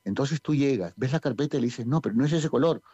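A man's voice: mid-range voice, quick pace, mellow, husky, no echo, muffled recording. A Peruvian man with a Peruvian Spanish accent who directs a community theatre group, talking in a radio interview and hesitating with many fillers. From Peru.

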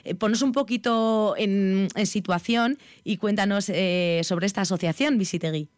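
A woman with a voice of middle pitch hosts an interview. She asks questions and drops short affirmations while her guest talks. The recording is dry and close. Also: normal speed, dry recording. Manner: brief backchannel affirmations. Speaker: radio interviewer.

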